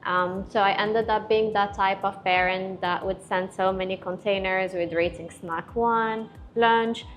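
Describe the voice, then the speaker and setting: Middle-aged woman from Morocco, animated, emphatic storyteller, mid pitch, faint music under her. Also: measured pace. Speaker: guest entrepreneur. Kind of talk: casual panel chat.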